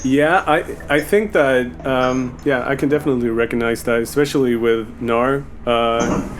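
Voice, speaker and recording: A man hosting a casual group Q&A, speaking in a halting, measured way. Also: medium pitch, steady tone. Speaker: Q&A host reading fan questions, music video maker. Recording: noisy, sub-par online group chat audio.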